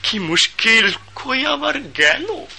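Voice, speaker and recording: An actor playing a deferential household servant in a radio drama. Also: male voice, extremely high pitch, very animated, slow pace, vocal fry, pushed and bright, soft background music, heavily acted. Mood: worried.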